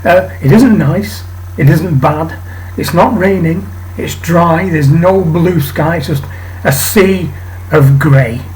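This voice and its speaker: A man from England with a raspy voice today, hosting a rambling casual monologue to camera with many ums and restarts. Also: a little high, slow pace, darkish tone, slight background noise, poor audio. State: raspy voice, coughing. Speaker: channel host. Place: home flat.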